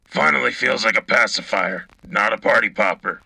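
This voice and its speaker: A gruff military radio co-host, acted by a man, with a lowish, very hard-edged voice. He is blunt and sardonic, husky and rough, recorded close and dry. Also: normal speed, pushed and bright, no room sound, exaggerated voice, poor audio. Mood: wry. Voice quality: gravelly, husky. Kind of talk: in-character military radio commentary.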